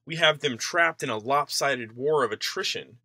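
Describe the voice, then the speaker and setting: Bright, forceful man reading an essay. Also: mid-range voice, normal speed, no room sound. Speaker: reader of a political essay. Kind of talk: scripted narration, read-aloud essay.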